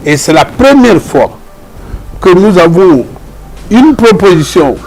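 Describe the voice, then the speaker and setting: A man with a Congolese French accent speaking as a professor in an interview, arguing emphatically and deliberately, repeating phrases for emphasis. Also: high-pitched, big pitch swings, darkish tone, faint music behind, rough recording. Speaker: professor, guest and spokesperson. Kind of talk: earnest interview argument.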